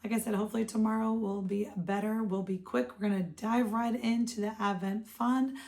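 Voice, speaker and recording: A woman hosting a casual craft vlog, her voice hoarse and froggy, chatting upbeat with viewers she calls friends. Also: medium pitch, steady tone, fairly quick, mellow. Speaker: craft shop owner and vlog host. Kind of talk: casual show-and-tell vlog.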